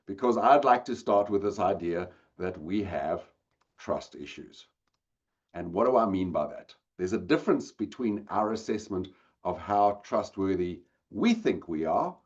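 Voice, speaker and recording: A man's voice: on the low side, lo-fi sound. A man with a South African accent giving a webinar talk, addressing the audience directly and engagingly. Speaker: guest speaker. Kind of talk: webinar presentation. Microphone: video call.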